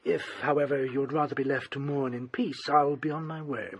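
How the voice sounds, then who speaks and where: An actor playing a suave, insistent, sinister doctor in a radio drama, speaking in a formal, unctuous way. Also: male voice, mid-range voice, fairly even intonation, moderate pace, a little hiss or hum, natural voice. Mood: smooth, insistent. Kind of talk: British radio drama acting.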